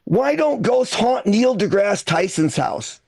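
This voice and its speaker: A man from Michigan performs stand-up in a very high-pitched voice with a gravelly edge. He is conversational and foul-mouthed, often restarting his phrases, and the sound is dry and close. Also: big pitch swings, slow pace, a little mellow, dry recording. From Michigan, United States. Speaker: stand-up comedian. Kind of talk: stand-up comedy routine.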